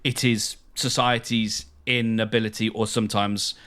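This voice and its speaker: A man's voice: on the low side, flat delivery, normal speed, a bit bright. A man co-hosting a podcast, explaining ideas in a casual, thoughtful conversational way. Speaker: podcast co-host.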